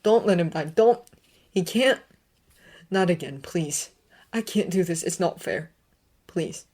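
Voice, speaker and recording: A woman's voice: lowish voice, animated, takes it slowly, darkish tone, husky, full character voice. A woman voicing an anguished, bitter grown man, a brooding teacher, with intense pleading outbursts. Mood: desperate.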